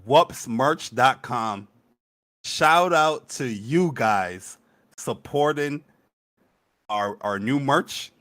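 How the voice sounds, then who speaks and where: Bright-voiced, hype podcast co-host man. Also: mid-range voice, very slow, pauses often. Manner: energetic, hype. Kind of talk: casual chat.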